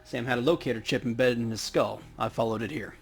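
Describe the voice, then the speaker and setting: A man's voice: mid-range voice, quick pace, vocal fry, slightly rough. A man hosting a let's play, joking informally as he comments and reads dialogue aloud. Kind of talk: casual game commentary and reading dialogue aloud. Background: game music.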